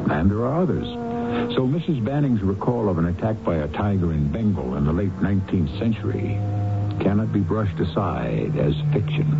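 A man hosting a radio drama, narrating suspense in a deliberate, solemn, theatrical manner. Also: lowish voice. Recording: muffled old radio broadcast with music.

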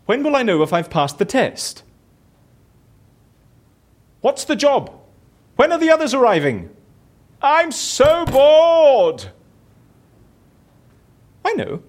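A man's voice: very high-pitched, wildly swooping pitch, slow, pauses often, full character voice. A man acting in an audio drama as a bored, boastful, theatrical raconteur who talks chattily and self-importantly. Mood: bored, restless. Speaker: lead character in audio fiction.

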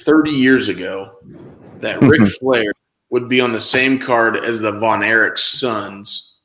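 A man's voice: fairly low pitch, slow pace, a bit bright. Guy rambling on a podcast, lots of uh and you know, echoey room. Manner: rambling, lots of fillers and restarts. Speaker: podcast co-host. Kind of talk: casual wrestling podcast chat.

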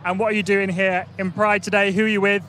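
A man's voice: sits high, little pitch movement, fast talker, bright, forceful tone, a little hiss or hum. A man hosting a podcast, interviewing people outdoors at a festival with enthusiastic energy. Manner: enthusiastic, repeats "big, big question". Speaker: podcast host. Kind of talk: upbeat vox-pop interviewing.

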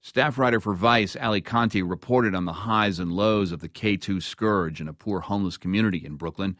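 A man hosting a radio news interview, moving between measured narration and questions to a guest. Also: fairly low pitch, a bit bright.

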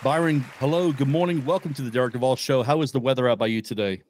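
A man's voice: medium pitch, fast, a little mellow, some chatter behind, slight background noise. A man hosting his own podcast, reading a scripted intro and asking interview questions in an upbeat, announcer-like way. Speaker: podcast host.

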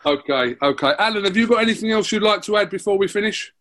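A man's voice: high voice, expressive, fast, a bit bright, a little hiss or hum. A man interviewing an athlete, polite and easygoing as he steers and wraps up the chat. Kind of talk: casual interview.

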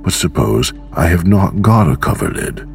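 A kindly old grandfather played by a male narrator speaks in a storybook. His voice is very low and gravelly, and he talks calmly and slowly over soft music. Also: heavily acted.

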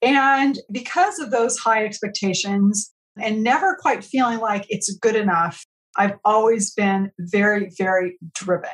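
A woman's voice: mid-range voice, measured pace, poor audio. A middle-aged woman from California, a podcast guest speaking in an earnest, reflective way about her own life. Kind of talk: podcast interview, reflective storytelling.

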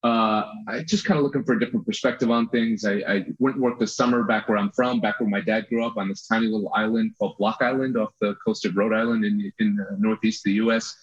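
An American man in his thirties, a photographer guest telling his story in a relaxed chat with frequent restarts. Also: fairly low pitch, fast talker, few pauses, slightly rough. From USA. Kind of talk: casual interview chat. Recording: dull lo-fi audio.

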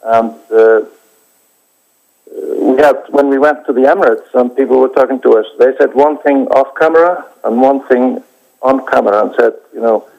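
A man answers interview questions as a documentary director, stopping often and restarting his sentences. His voice has a gravelly, creaky edge at a middling pitch. The recording is dull and lo-fi. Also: soft background music. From Iceland. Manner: halting, many hesitations and restarts.

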